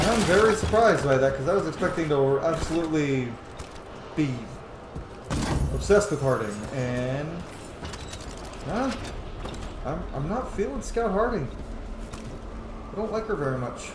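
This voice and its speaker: A man chats casually over his gameplay in a fairly high, animated voice. He reacts excitably and swears freely. The room is echoey, with clear noise behind him. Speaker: let's play host, gamer. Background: game sounds.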